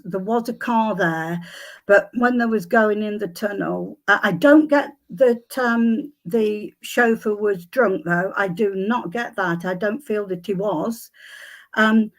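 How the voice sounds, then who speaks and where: An older woman, a psychic guest, answering questions with many pauses and restarts, her voice a little croaky. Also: medium pitch. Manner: hesitant, many ums and restarts. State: slightly croaky voice. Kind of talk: conversational interview answers.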